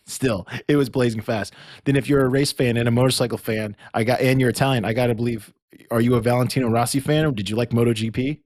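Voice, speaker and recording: A man's voice: medium pitch, fairly even intonation, brisk, a little mellow, dry recording, studio quality. A man hosting a podcast interview in a casual, chatty, effusive and informal way. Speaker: podcast host.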